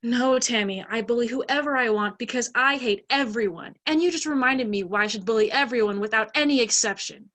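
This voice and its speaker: A woman's voice: fairly high pitch, brisk, few pauses, fairly bright tone, lightly acted. A woman in an amateur play acting a snooty teenage bully with a scornful, drawling valley-girl manner. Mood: irritated.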